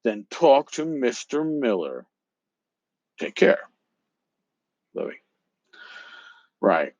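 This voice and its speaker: A man hosting a podcast, talking casually and reading aloud in an emphatic, theatrical, sometimes halting way, on a slightly lo-fi recording. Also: medium pitch, big pitch swings, a little mellow, no echo. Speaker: podcast host. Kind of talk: casual talk with dramatic reading of emails aloud.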